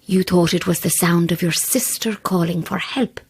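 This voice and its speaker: A woman playing an eerie, obsessive believer in spirits in an audio drama, with a breathy voice and an insinuating, insistent manner. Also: lowish voice, moderate pace. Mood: quiet menace. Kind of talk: dramatic audio fiction acting.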